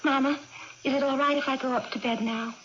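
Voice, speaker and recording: An actress playing a timid, withdrawn, melancholy eighteen-year-old farm daughter, meek and hesitant, in a period radio drama. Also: female voice, high voice, steady tone, a little fast, fairly bright tone. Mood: meek, anxious. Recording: dull old radio recording, faint hiss.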